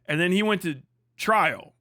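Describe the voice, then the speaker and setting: A man's voice: high voice, animated, measured pace, bright, forceful tone, no room sound, clean studio sound. A man giving casual, comedic livestream commentary, profane and emphatic, often repeating phrases for emphasis. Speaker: livestream host.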